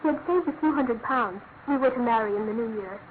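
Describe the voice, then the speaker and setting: An actress plays a young British woman, a loyal and anguished fiancée in a radio mystery. Her voice is high and refined, with earnest, halting emphasis. The recording is thin and phone-like, lightly noisy. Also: natural voice. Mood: subdued, earnest. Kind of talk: classic radio drama acting. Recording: noisy, narrow-band old radio recording.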